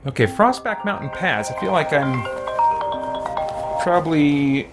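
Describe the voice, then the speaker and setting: A man's voice: a little high, expressive, a little slow. A man giving casual, rambling live commentary as a game streamer. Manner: rambling, conversational. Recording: music behind the voice. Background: game music.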